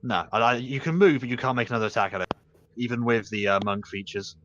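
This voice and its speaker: A man's voice: medium pitch, fast talker, a bit bright, muffled recording, a little hiss or hum. A man running a tabletop game as game master, explaining rules with frequent restarts and corrections. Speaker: dungeon master.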